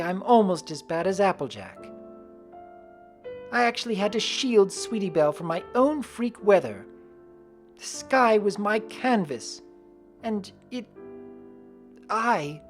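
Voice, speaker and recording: A woman's voice: medium pitch, darkish tone, natural voice. A woman narrating in the first person as an earnest adult pony mare who works the weather, reading fan fiction expressively. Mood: distressed.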